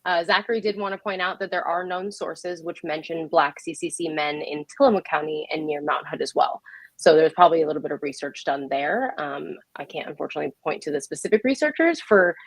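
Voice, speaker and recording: Lowish-voiced woman hosting casually, dry recording. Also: lively intonation, a little fast, dry recording, poor audio. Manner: informal, chuckles often. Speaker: host. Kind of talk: casual interview hosting, relaying audience questions.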